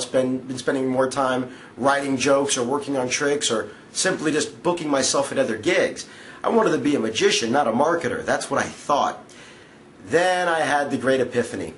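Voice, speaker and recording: A young man talking straight to the camera from a couch indoors, pitching his magic course eagerly in a casual, self-correcting way. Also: fairly bright tone, slight background noise. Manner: enthusiastic, conversational, with self-corrections. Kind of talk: direct-to-camera sales pitch. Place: indoor room, seated on a patterned couch.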